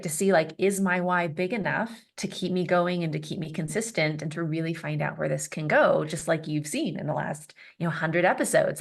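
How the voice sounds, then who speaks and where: A woman's voice: lowish voice, gravelly. A woman hosting a podcast interview, encouraging her guest and asking follow-up questions. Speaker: podcast host. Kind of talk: interview conversation.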